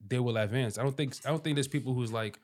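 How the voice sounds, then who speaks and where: A man's voice: medium pitch, fast talker. A man from Boston co-hosting a podcast, talking loosely with lots of fillers and arguing his point. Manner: loose, filler-heavy, argumentative. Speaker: podcast co-host. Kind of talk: casual discussion.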